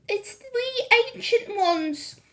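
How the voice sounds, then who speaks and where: A woman's voice: very high-pitched, animated, slow pace, voice pushed up, full character voice. A woman voicing a group of timid, fawning old witches who speak meekly. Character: meek, frightened old female witches.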